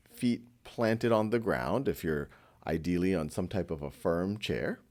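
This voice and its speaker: A man leading a guided meditation in a gentle, unhurried, reassuring way. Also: fairly low pitch.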